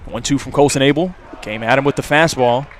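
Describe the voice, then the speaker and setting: A man's voice: mid-range voice, brisk. A man with an American accent doing live radio play-by-play commentary of a baseball game as announcer. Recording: clear background noise from outdoor ballpark.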